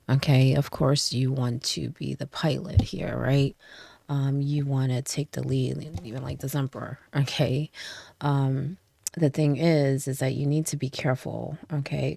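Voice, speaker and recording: Woman w a cold doing a tarot reading, super low gravelly voice, keeps saying okay?. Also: mellow, slight background noise. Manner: chatty, checks in with frequent "okay?" and "right?". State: stuffy from a cold. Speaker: tarot reader. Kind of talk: casual tarot reading to camera.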